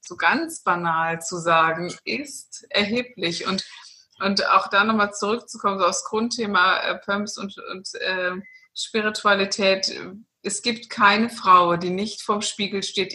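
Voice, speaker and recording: A woman's voice: medium pitch, steady tone, moderate pace, sharp tone. A woman talking earnestly about spirituality in an interview, with many fillers and restarts.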